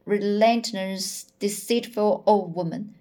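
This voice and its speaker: A woman reading the part of an outspoken, indignant young woman in a storybook, with halting delivery. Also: medium pitch, steady tone, mellow, lightly acted. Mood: indignant, cross.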